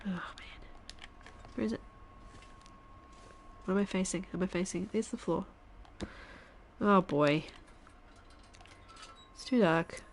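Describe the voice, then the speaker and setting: A woman in her thirties, a stuffy-nosed streamer chatting casually while she plays, thinking aloud and now and then dropping to a quiet whisper. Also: fairly low pitch, slow, long pauses, a little hiss or hum. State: congested, stuffy nose. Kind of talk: casual live-stream chat while gaming.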